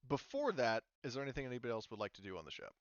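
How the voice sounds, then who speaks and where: A man with a smooth, clear voice runs a tabletop game. He talks casually and haltingly, often rephrasing as he explains rules. The sound is dry and slightly dull. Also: medium pitch, sing-song, dry recording. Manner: informal, halting, often rephrasing mid-sentence. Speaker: game master.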